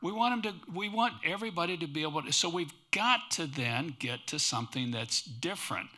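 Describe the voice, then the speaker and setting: Bright-voiced man speaking on a panel. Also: fairly high pitch, animated, fairly quick. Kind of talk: panel discussion. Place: conference panel before an audience.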